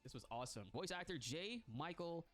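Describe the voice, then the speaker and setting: A man hosting a casual reaction stream, congested from a cold, excitable and slangy, laughing often. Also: a little high, brisk, soft background music. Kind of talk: casual reaction chat, reading text aloud.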